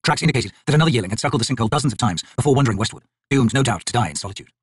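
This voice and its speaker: Expressive male audiobook narrator, quick and lively. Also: medium pitch, breathy edge, no room sound, studio quality. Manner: theatrical, expressive, solemn. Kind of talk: dramatic scripted fantasy audiobook narration.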